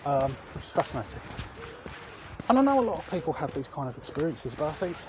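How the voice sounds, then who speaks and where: A man's voice: a little high, very animated, quick pace. A man chatting casually to viewers as a vlog host, hesitant and often correcting himself mid-sentence. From England. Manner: hesitant, self-correcting, repeats words. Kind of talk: casual rambling chat to viewers. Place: outdoors, walking along urban streets. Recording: outdoor recording with traffic noise.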